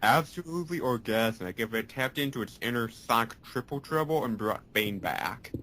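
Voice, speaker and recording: A man's voice: medium pitch, animated, normal speed, sharp tone, a little hiss or hum, low-quality recording. A young man co-hosting a podcast, chatting eagerly about video game details. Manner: enthusiastic gamer talk. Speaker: podcast co-host. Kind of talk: casual chat.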